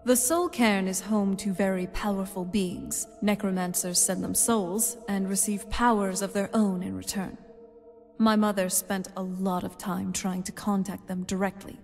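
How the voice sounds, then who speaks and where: A woman playing a young-looking, knowing vampire in a fantasy video game, with a dry, measured way of explaining. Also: mid-range voice, steady tone, vocal fry, darkish tone. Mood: explaining, serious. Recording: long hall reverb, music underneath. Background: game music.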